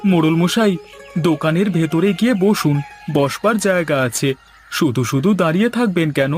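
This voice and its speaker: An earnest village tradesman from a cartoon, played by a man, with a fairly high male voice over soft music. He speaks confidently and respectfully, like someone who always has a plan. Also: expressive, normal speed, close to an everyday voice. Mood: busy, respectful. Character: resourceful, earnest village chicken seller, a man. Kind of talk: dramatised cartoon dialogue.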